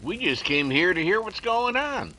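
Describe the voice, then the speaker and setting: A man's voice: high-pitched, big pitch swings, moderate pace, pushed and bright, heavily acted, poor audio. A man playing a slangy, jumpy detective's sidekick who blusters and hesitates in a 1930s radio drama. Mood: casual. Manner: colloquial, hesitant, stammering bluster. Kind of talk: 1930s radio comic-relief acting.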